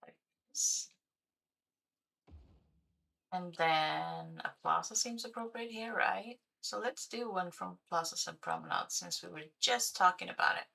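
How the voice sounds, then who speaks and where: Slow, gravelly woman streamer. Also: fairly low pitch, bright, forceful tone, rough recording. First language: Swedish. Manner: chatty, thinking aloud, hesitant with fillers. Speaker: game streamer. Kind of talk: casual livestream commentary while playing.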